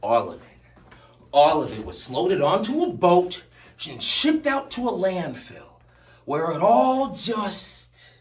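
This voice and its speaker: Echoing and off-mic, a male actor plays a bitter, unhinged man in a theatrical monologue. His delivery is slow and halting, with volatile swings from hush to outburst. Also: sits low, big pitch swings, exaggerated voice. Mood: rising anger. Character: bitter, unhinged man confronting an old acquaintance. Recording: roomy echo, distant mic, faint noise.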